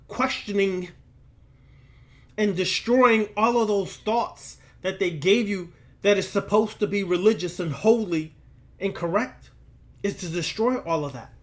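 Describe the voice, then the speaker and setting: Man, somewhat high pitch, occult lecturer, preaching style, deliberate and emphatic, repetitive rhetorical phrasing.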